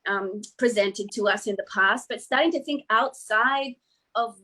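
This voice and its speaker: An earnest middle-aged Australian woman talking through her ideas over a video call. Her voice sits a little high and runs in long, flowing sentences. She often drops in a casual "you know.". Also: unhurried, bright, forceful tone. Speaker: guest speaker. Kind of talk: reflective interview answers.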